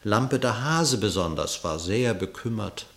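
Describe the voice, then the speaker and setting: A man narrates a verse story for a classic radio play. His voice sits fairly low, and he tells it expressively in measured, dramatic phrases. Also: expressive, a bit bright.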